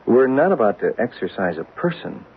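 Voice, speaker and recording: A man's voice: mid-range voice, big pitch swings, normal speed, slightly rough, exaggerated voice. A man playing an earnest, patient male psychic assistant in a 1970s radio drama. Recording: narrow radio broadcast with faint hiss.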